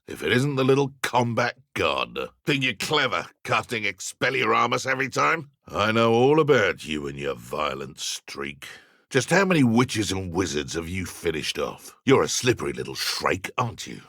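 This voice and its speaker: An actor playing a sneering, menacing British poacher and dark wizard in a video game, taunting with mock politeness and contempt. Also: male voice, fairly low pitch, dry recording, clean studio sound, heavily acted. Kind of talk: video game villain combat barks.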